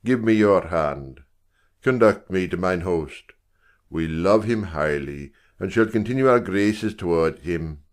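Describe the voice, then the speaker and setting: A man playing a gracious, stately king with a measured, courtly manner in a Shakespearean audiobook drama. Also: low-pitched, expressive. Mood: genial, courteous. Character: gracious, stately male king visiting as guest.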